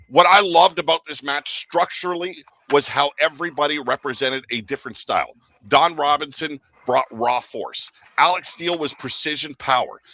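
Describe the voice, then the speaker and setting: Wry male podcast host, bright forceful tone, dry close mic. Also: medium pitch, dry recording, low-quality recording. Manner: wry, joking commentary with colorful comparisons. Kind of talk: wrestling recap podcast.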